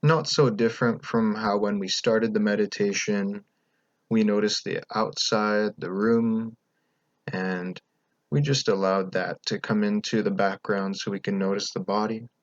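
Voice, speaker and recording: Low-voiced man guiding a calm meditation. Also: no room sound, highs cut off. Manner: calm, gentle, unhurried instruction with pauses. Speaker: meditation guide. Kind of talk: guided meditation, unscripted.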